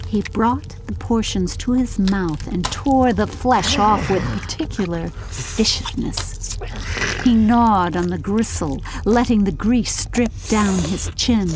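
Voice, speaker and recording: A woman's voice: mid-range voice, darkish tone, poor audio. A woman reading scripted audiobook narration of a story.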